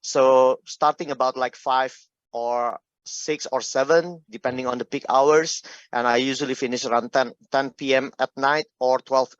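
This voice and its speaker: A middle-aged man from Indonesia with an Indonesian accent, telling his personal story in an informal, halting, conversational talk. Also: medium pitch, fairly even intonation, dry recording, highs cut off. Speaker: speaker giving a personal health testimony.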